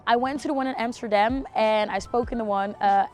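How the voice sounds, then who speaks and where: High-voiced young woman. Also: a little fast, darkish tone, faint music behind. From Netherlands. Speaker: student telling her personal story. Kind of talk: personal testimony, reflective.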